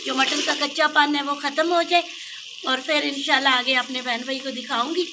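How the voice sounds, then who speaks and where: A woman's voice: high voice, fairly even intonation, brisk. A woman with a Pakistani Urdu accent, chatting homely through a recipe as she cooks outdoors at a clay stove at night, and pleading with viewers to subscribe. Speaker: cooking vlogger. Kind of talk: casual cooking narration. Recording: distant voice with sizzling noise.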